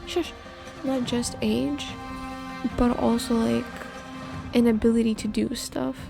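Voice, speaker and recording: A woman's voice: a little high, steady tone, takes it slowly, gravelly. A woman streaming a game, giving casual, slangy, laid-back commentary with some swearing, close on the mic. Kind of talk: casual live gameplay commentary. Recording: game music behind the voice.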